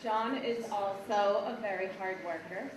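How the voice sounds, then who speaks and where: Woman teacher, brisk and teasing, echoey hall, distant mic, background noise. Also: medium pitch. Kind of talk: award speech at a school assembly. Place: school assembly hall.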